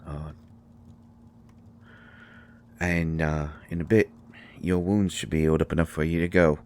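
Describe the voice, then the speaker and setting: Gruff folksy male character, acted by a man, bass-low and slow, stammering, for intimate roleplay audio drama. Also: little pitch movement, a little mellow. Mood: awkward. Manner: halting, stammering, folksy colloquial speech. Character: gruff, folksy, flustered man comforting a vampire guest.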